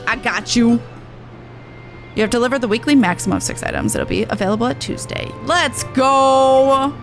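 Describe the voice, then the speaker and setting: A woman game streamer chats casually as she plays. Her voice is high and very lively in its swings, with music running behind her commentary. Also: normal speed.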